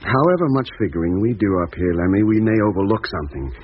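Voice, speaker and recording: An actor playing a steady, reassuring doctor aboard a Moon rocket, in a 1950s radio drama. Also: male voice, on the low side, quick pace, breathy edge. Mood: sober, reassuring. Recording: dull 1950s radio recording.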